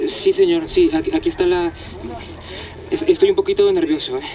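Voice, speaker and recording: A man's voice: sits high, fairly even intonation, brisk, a crowd in the background, natural voice, low-quality recording. A man playing a young kidnap victim, nervous, hesitant and deferential as he gives testimony.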